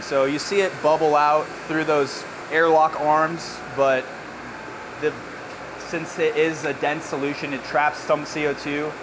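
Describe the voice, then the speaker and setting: Man in his thirties, brewer, easygoing explainer, slightly raised pitch, constant hum of machinery behind. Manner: relaxed, explanatory, uses casual slang. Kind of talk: hands-on explanation. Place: large brewery floor with steel tanks, indoors. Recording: clear machinery and air-con noise.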